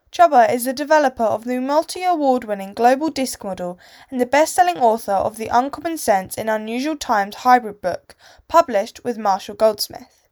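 A woman co-presenter reads out a guest's introduction for a podcast. Her voice is fairly high, and she delivers the script clearly and steadily.

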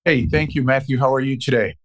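A middle-aged man from Puerto Rico, a marketing director answering interview questions with many pauses and restarts. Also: medium pitch, fairly even intonation. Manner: hesitant, many fillers and restarts.

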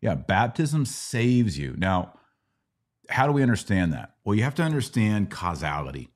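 A man with a low voice hosts a casual live Q&A. He explains things in a didactic way, step by step, posing questions and offering everyday analogies as he goes. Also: very animated, normal speed. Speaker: podcast host answering Bible questions.

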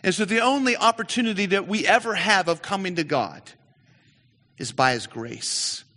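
A man preaching a sermon, telling stories in an animated way, with frequent restarts and repeated words. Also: sits high, moderate pace. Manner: animated storytelling, many restarts. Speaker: pastor. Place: church sanctuary. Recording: church hall reverb.

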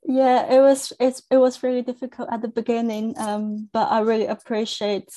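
A young woman from China whose first language is Chinese, speaking earnestly as a guest on a podcast interview, with thoughtful pauses and restarts. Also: a little high, level tone, dark tone. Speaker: academic coach, guest.

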